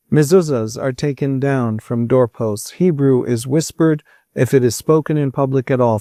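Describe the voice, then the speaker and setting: A man's voice: mid-range voice, mellow, no echo, clean studio sound. Earnest man interviewing. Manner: earnest, searching, restarts sentences. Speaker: podcast host. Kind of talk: interview questions.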